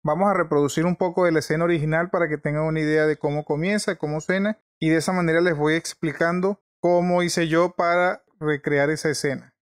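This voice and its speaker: A man's voice: fairly high pitch, fairly even intonation, brisk, hardly any pauses. A man hosting an informal video tutorial, explaining conversationally and often repeating and rephrasing himself.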